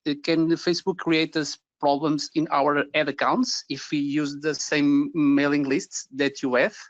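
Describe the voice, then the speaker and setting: A Portuguese man, speaking English with an accent, asks questions through a computer mic on a video call. His voice is a little high and comes through dull and lo-fi. He talks hesitantly and politely, stopping often to find his words. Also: dry recording. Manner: hesitant, many fillers and restarts, polite. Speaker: webinar attendee asking questions.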